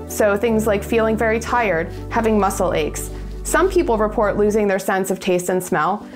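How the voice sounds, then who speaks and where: Calm, measured woman doctor. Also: fairly high pitch. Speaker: physician. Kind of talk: explanatory clip on medical symptoms. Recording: inserted clip with faint music.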